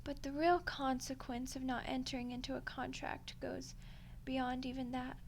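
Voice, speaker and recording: A woman playing a smug, condescending female archdemon in a soft-spoken ASMR roleplay, unhurried and menacingly polite. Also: sits high, level tone, a little hiss or hum. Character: ancient female archdemon, smug, coolly seductive deal-maker.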